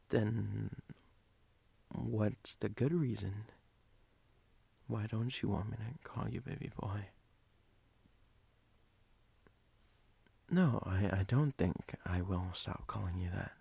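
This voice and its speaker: Man playing a sleepy boyfriend, gravelly and husky. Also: lowish voice, warm tone, full character voice. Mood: tender teasing. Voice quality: husky, breathy, gravelly, often whispered. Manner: slow, halting, intimate teasing. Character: sleepy, teasing, doting boyfriend. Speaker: ASMR role-play performer. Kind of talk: ASMR boyfriend role-play.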